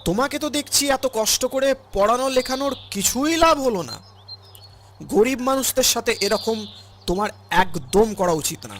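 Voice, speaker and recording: A man's voice: very high-pitched, expressive, moderate pace, a bit bright, a little hiss or hum. A man voicing a principled older father in a cartoon, earnest and preachy as he admonishes. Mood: disappointed, scolding. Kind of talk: cartoon voice acting.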